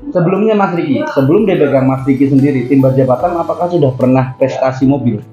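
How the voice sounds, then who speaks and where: A man hosting a casual interview, relaxed and prompting his guest with short questions and asides to viewers. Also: mid-range voice, quick pace. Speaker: host and interviewer. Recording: echoey room with dull, lo-fi sound.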